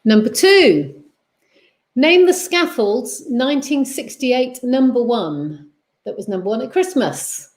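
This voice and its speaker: A woman hosts a homely online quiz, her voice sitting a little high. She reads each question deliberately, repeats it, then drifts into easy chat. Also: animated, darkish tone. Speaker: quiz host. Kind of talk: casual online quiz reading.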